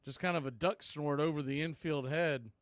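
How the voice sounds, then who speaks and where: Clear-voiced man calling baseball play-by-play. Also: a little high, normal speed, dry recording, studio quality. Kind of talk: live play-by-play sports commentary. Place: indoors, calling the game from a video feed.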